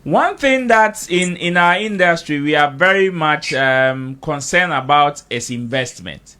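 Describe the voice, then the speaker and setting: A man's voice: a little high, lively intonation, measured pace, sharp tone. A man hosting an interview, putting questions and rephrasing them as he goes. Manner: rephrases questions, murmurs acknowledgements. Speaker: interviewer, host.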